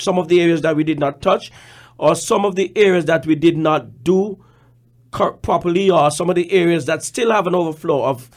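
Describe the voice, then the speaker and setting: A man with a Caribbean accent, a manager speaking on a radio programme, explaining deliberately and repeating words for emphasis. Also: a little high. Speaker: general manager and radio guest.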